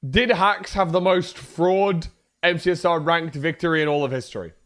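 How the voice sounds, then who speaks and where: A young man with a hoarse voice, chatting casually and joking on a livestream. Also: a little high, steady tone, normal speed, fairly bright tone. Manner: joking, trash-talking, swears a lot. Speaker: streamer.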